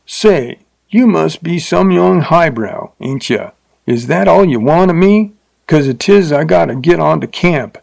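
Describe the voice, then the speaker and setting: A man voicing an uncouth, leering frontier rider with a rough drawl and bad grammar. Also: fairly high pitch, animated, a little slow, darkish tone, pitched above the performer's own voice, full character voice. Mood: gruff, mocking.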